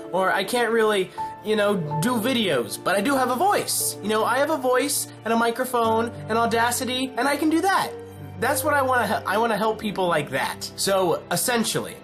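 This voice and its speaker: An excited channel host making a casual announcement, talking in an emphatic, rambling way. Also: mid-range voice. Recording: music behind the voice. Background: music.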